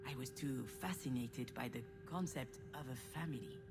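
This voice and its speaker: A woman's voice: very deep voice, moderate pace, gravelly. An actress playing an introspective, wounded adult woman, a protective older sister, narrating her thoughts in a confiding, dramatic way. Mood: reflective. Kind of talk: introspective scripted game narration. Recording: music under the voice.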